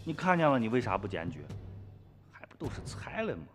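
A man's voice: mid-range voice, big pitch swings, brisk, a bit bright. A man playing a shy, evasive young villager in a radio drama, stammering and protesting. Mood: defensive. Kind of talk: Chinese radio drama acting. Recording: long reverb with music behind.

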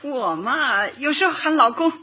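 Nosy elderly granny, giggly, teasing, bright, animated, comic radio drama, lo-fi. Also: female voice, high voice, moderate pace. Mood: playful. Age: older. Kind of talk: light comedy radio drama acting.

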